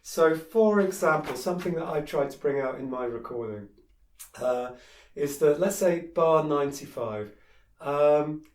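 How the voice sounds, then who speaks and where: A man's voice: a little high, lively intonation, unhurried. Chatty man teaching piano in a roomy space. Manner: chatty, frank, sings melodies on "da". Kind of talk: casual piano tutorial, singing passages. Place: room with a piano. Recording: roomy, distant mic, piano playing.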